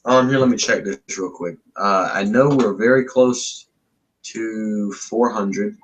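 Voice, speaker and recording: A young man with a deep voice, a backyard wrestler guest on a podcast, boasting and trash-talking in wrestling promo style. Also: slow pace, slight background noise, rough recording. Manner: cocky, trash-talking, repeats catchphrases.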